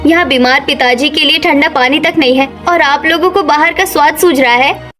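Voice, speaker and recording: A woman's voice: sits high, normal speed, a bit bright, faint music behind. A woman voicing a harried young village daughter-in-law in a cartoon, plaintive and scolding.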